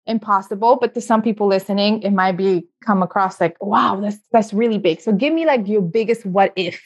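A woman's voice: medium pitch, fairly quick. A woman hosting a podcast, asking long, rambling questions in a chatty way and laughing often, with a slightly dull sound. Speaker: podcast host. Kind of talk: casual interview hosting.

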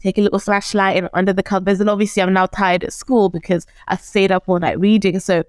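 A young woman from Zimbabwe whose first language is Shona, talking as a guest in an animated, casual interview and acting out the voices she quotes. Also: medium pitch, fast, clean tone, no room sound, studio quality.

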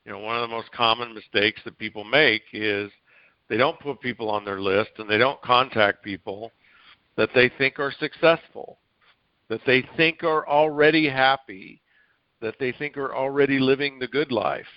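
A man's voice: medium pitch, normal speed, no echo. Man hosting on a dry, muffled call. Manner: encouraging, coaching tone addressed to listeners. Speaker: podcast host, interviewer. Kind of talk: casual interview chat. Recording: dry, dull lo-fi call audio.

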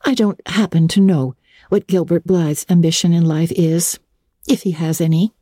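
A woman's voice: fairly low pitch, steady tone, moderate pace, mellow, dry recording, studio quality, lightly acted. A woman playing an earnest, imaginative, chatty schoolgirl in her early teens, full of opinions and dramatic flourishes, in a storybook reading. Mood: scornful. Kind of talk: dramatic audiobook reading of classic novel.

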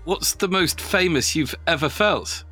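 A man's voice: on the high side, measured pace, over music. A man hosting a podcast, asking questions in a conversational interviewing style.

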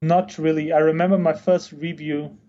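A man's voice: a little high, flat delivery, normal speed, lo-fi sound. A man from Denmark chatting casually as an interview guest, informal and peppering his speech with "like". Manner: informal, filler-heavy speech with frequent "like". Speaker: interview guest, software founder.

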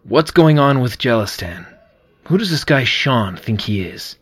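A man's voice: medium pitch, animated, a little slow, slightly rough. A man playing a confident, friendly president in an audio drama.

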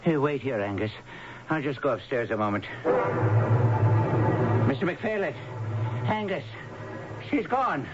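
Slow-spoken, anxious, halting father. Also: mid-range voice, sing-song, loud music behind the voice. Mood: worried. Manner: halting, hesitant. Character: anxious, protective father of a troubled grown daughter. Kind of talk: old-time radio drama acting. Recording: dull, hissy 1970s radio broadcast.

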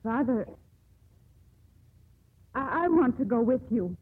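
A woman's voice: a little high, animated, takes it slowly, slightly rough, no echo, phone-quality sound, heavily acted. A determined young woman of the 1840s who longs for the sea. She speaks with poetic, insistent conviction in old radio drama style. The recording is thin and band-limited, like a vintage broadcast. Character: headstrong young sea captain's daughter of 1845. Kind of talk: 1950s radio drama acting.

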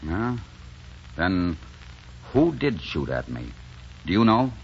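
A man's voice: deep voice, sing-song, slow pace, a little hiss or hum, exaggerated voice. An actor playing a stubborn, intense romantic leading man in a 1940s radio drama. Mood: vengeful. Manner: intense, insistent. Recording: muffled 1940s radio broadcast.

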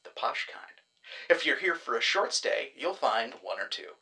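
A man's voice: big pitch swings, moderate pace, gravelly, sharp tone, heavily acted. An actor playing a glib, self-satisfied man who kidnaps clients for a death-faking service, talking like a salesman. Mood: smug. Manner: glib salesman pitch, chatty and pleased with himself. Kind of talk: audio drama acting.

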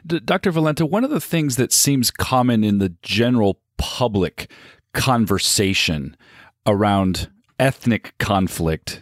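Low-voiced male radio host. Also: dry recording, clean studio sound. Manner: measured, articulate questioning. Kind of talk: radio interview.

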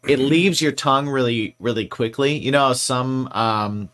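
A man's voice: medium pitch, a little slow, fairly bright tone. A man co-hosting a podcast, chatting casually and rambling playfully with many fillers. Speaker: podcast co-host. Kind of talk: casual chat.

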